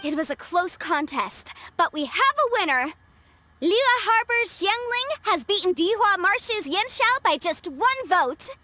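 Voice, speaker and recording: A woman's voice: very high-pitched, big pitch swings, a little slow, pushed and bright, heavily acted. A woman playing a young, upbeat contest officiator in a video game, speaking in formal, ceremonious announcements.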